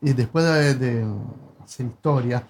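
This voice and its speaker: A man's voice: medium pitch, unhurried, darkish tone. A man in his sixties with a Rioplatense Spanish accent, chatting casually and hesitantly with many fillers. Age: 60s. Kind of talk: casual chat.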